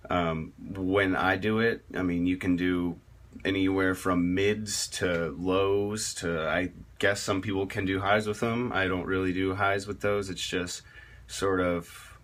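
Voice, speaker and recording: Slow, deep-voiced man explaining vocal technique. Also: flat delivery, fairly bright tone. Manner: rambling and halting, many fillers and restarts. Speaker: metal vocalist teaching screaming technique. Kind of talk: casual spoken tutorial.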